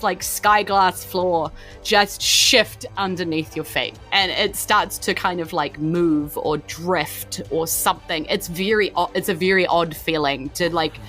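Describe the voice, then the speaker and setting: Game master: New woman, bright tone, animated and informal improvised storytelling, light music underneath. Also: fairly low pitch. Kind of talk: improvised tabletop game narration.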